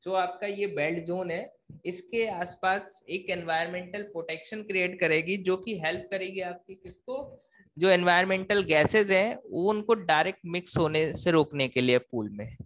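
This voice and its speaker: A man's voice: high voice, fairly bright tone, a little hiss or hum, low-quality recording. A man giving an explanatory technical lecture as an engineering teacher, asking and answering his own questions, repeating points and mixing in English technical terms.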